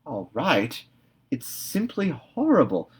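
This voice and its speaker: A man's voice: medium pitch, wildly swooping pitch, husky, pitched above the performer's own voice, full character voice. A man putting on a scolding old spinster. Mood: exasperated. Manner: brisk, scolding. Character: stern elderly spinster woman, sharp-tongued.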